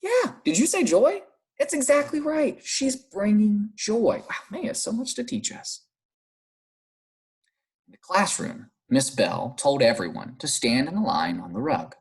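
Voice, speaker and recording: A man teaching young children through an expressive read-aloud, pausing to explain and ask encouraging guiding questions. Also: high-pitched, husky. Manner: animated, encouraging, explanatory, pauses to question listeners. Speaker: primary school teacher reading aloud.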